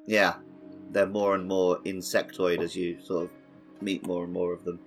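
A man with a fairly low voice co-hosts a casual gaming podcast. He talks conversationally over quiet background music. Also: little pitch movement, moderate pace. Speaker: podcast host. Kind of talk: casual podcast discussion of a video game.

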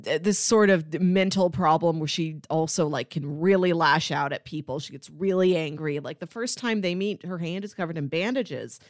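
A woman's voice: low voice, normal speed, few pauses, no echo. Woman chatting casually, close dry sound. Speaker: film podcast co-host. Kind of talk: casual film discussion.

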